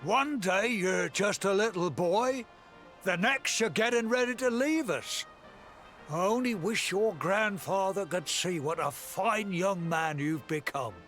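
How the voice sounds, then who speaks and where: A kindly older villager from a fantasy game. His voice is very high for a man, and he talks slowly and sentimentally, easily flustered, over faint music. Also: a bit bright. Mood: fond, nostalgic. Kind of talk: video game voice acting.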